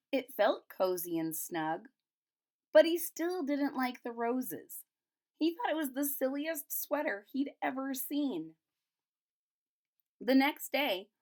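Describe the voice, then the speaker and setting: Woman reading a children's picture book aloud. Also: fairly high pitch. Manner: explains and recaps simply for young listeners. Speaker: storybook reader. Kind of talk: children's picture-book read-aloud with retelling in plain words.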